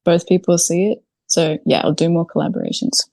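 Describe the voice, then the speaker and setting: A woman's voice: fairly low pitch, level tone, a little fast, creaky, warm tone, no room sound. A woman from New Zealand chatting informally as an interview guest, peppering her talk with "like" and "literally". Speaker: podcast guest, video creator. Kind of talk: casual interview chat.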